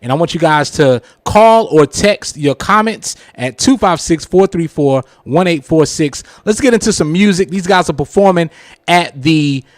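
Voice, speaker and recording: Animated, higher-voiced man hosting live radio. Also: dry recording, clean studio sound. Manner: informal, slangy, chuckles while talking. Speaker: radio show host.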